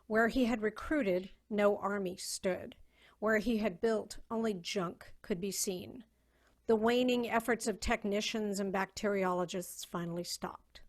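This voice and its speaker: A woman serves as narrator in a dramatic reading. Her voice sits at a middle pitch. She delivers scripted third-person storytelling between the characters' speeches.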